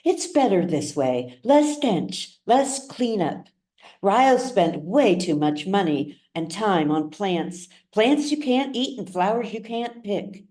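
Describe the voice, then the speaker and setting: A woman voicing a mother in a story reading, by turns brisk and syrupy with her small boy. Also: medium pitch, big pitch swings, warm tone, voice pushed up. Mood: dismissive.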